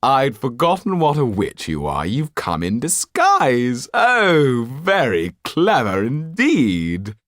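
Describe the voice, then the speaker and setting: A man's voice: medium pitch, wildly swooping pitch, takes it slowly, hardly any pauses, a bit bright, no echo, clean studio sound, pitched above the performer's own voice, heavily acted. A man voicing a pompous, foolish aristocratic marquis with an affected drawl. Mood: amused.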